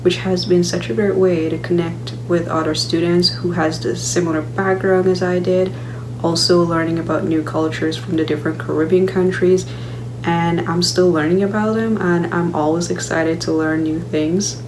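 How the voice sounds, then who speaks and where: A young woman from Guyana talks in a friendly, steady way that sounds a little recited, speaking into a laptop webcam in her bedroom. Her voice is lowish for a woman, and a clear electrical hum runs underneath it. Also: moderate pace. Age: young adult. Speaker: student speaker. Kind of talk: webcam testimonial. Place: bedroom at home.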